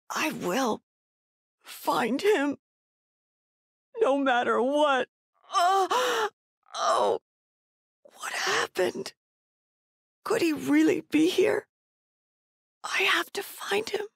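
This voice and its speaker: An actress playing a frightened young woman on the run in a horror video game, breathless and gasping, with halting pleas. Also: high-pitched, animated, vocal fry, fairly bright tone, no echo, clean studio sound, exaggerated voice. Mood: determined, worried. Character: frightened young woman hiding and fleeing from killers.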